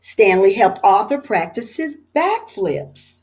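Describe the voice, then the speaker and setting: Expressive woman reading a picture book aloud. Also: mid-range voice. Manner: expressive, storytelling, pauses for pictures. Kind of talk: children's read-aloud.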